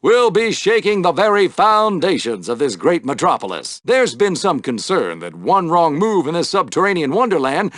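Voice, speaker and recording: An exuberant sci-fi race announcer for a video game. The voice sits on the high side for a male and swings dramatically up and down, with showy, theatrical flair and a wry edge. The sound is dry and close, like a studio booth. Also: sing-song, normal speed, few pauses, fairly bright tone, no echo. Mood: showy, scene-setting. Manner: showy, dramatic broadcaster delivery. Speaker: sports announcer.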